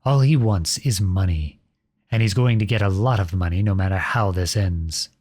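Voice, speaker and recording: A man playing a protective, noble outlaw prince in an earnest, dramatic ASMR audio roleplay, with a soft, husky voice close to the mic. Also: low voice, normal speed, no room sound. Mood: pleading. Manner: earnest, dramatic, addresses unseen listeners directly. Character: outlawed young prince, protective, noble, devoted to his beloved. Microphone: close studio-style microphone, dry.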